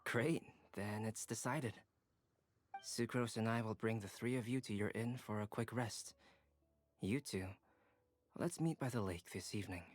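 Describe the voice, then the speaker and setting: A man playing a calm, courteous young scholar in an anime-style game, speaking formally and with measured care. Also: lowish voice, lightly acted. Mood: decisive.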